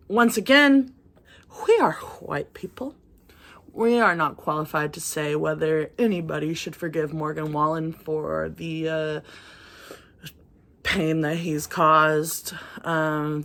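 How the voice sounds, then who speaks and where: A woman from rural Texas co-hosting a casual album review, blunt and profane, laughing often. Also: fairly low pitch. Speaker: music review co-host.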